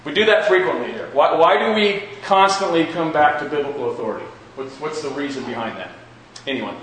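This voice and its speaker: A man with a slightly high voice leads a Bible class in a very reverberant room. He speaks informally, circling back over his questions and rewording them for the group. There is faint noise in the recording. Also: animated, brisk, a bit bright. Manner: repeats and rephrases questions for emphasis. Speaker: Bible class teacher at a church. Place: large echoey indoor room with a class present.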